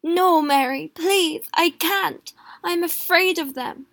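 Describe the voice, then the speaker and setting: A woman's voice: very high-pitched, slow, a bit bright, no room sound, voice pushed up, full character voice. A woman putting on a frightened, pleading young husband. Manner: pleading, tearful. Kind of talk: audiobook reading.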